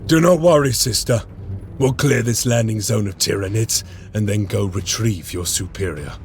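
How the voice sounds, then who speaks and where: An actor playing a battle-hardened, dutiful space-marine lieutenant in a video game, with a gravelly voice and a resolute, military manner. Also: male voice, on the low side, normal speed, a little hiss or hum. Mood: determined. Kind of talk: game cutscene acting.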